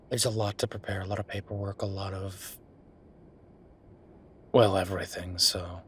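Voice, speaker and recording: A man playing a weary, wry younger werewolf boyfriend working late, speaking haltingly in an intimate roleplay audio drama. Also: fairly bright tone, slight background noise. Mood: weary, concerned. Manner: low-key, sardonic, halting, sighing.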